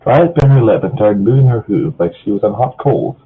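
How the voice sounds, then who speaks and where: A man narrates a story in a casual, lively way, often tripping over words and starting again. His voice is very rough and husky. The sound is narrow and muffled, like a low-quality voice chat. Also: brisk. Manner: stumbles over words, often repeats phrases. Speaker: story reader. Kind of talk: casual narration of fan fiction. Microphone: online voice call.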